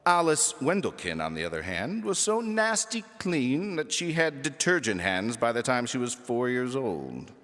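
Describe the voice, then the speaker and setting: A man's voice: mid-range voice, big pitch swings, normal speed, hardly any pauses, a bit bright, long reverb tail. A man reading a children's book aloud as a storyteller, with theatrical, emphatic delivery. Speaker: audiobook reader.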